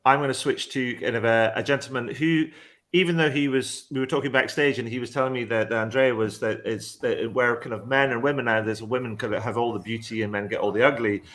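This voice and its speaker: A man hosts a panel discussion in an informal, chatty style. His voice sits at a middling pitch. He rambles a little and is complimentary toward the people he addresses. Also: steady tone, a little fast, a bit bright. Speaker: panel moderator. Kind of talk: casual panel hosting.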